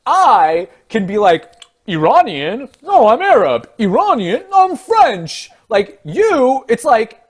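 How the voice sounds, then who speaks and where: A young man from California hosting a casual conversation, relaxed and full of fillers like "you know". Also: very high-pitched, takes it slowly. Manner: relaxed, filler-heavy, mimics others. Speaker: podcast host.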